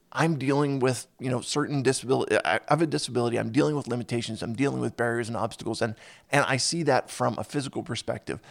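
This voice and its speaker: A man's voice: medium pitch, vocal fry. A man hosting an interview podcast, earnest and given to restarting his sentences. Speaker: podcast host. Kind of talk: casual interview chat.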